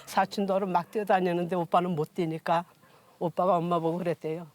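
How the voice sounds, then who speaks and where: A woman's voice: fairly low pitch, level tone, fairly quick. A woman in her sixties telling personal stories as an interview guest, with plain, homely, unpolished speech. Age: 60s. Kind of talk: personal storytelling in conversation. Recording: music behind the voice.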